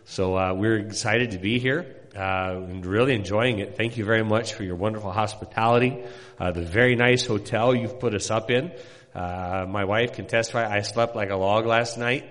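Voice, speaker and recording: A middle-aged missionary pastor from Indiana addresses a congregation in a folksy, meandering way, filling his pauses with "uh". His voice sits fairly low, and it carries through the long echo of a big hall. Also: fairly even intonation, a bit bright. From Indiana, USA. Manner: folksy, rambling, many "uh" pauses. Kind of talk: sermon introduction to a congregation.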